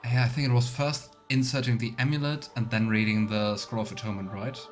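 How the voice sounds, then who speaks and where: A man streaming a video game with casual commentary and role-play asides, thinking out loud. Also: mid-range voice, little pitch movement, fairly quick, soft background music.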